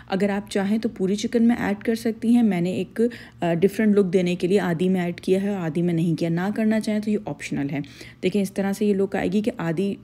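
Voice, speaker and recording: A woman talks viewers through a recipe in a chatty, friendly voice-over. Her voice is mellow and warm, sitting at an ordinary middle pitch. She keeps it easygoing, with little playful asides. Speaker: cooking channel host. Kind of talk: voice-over cooking tutorial.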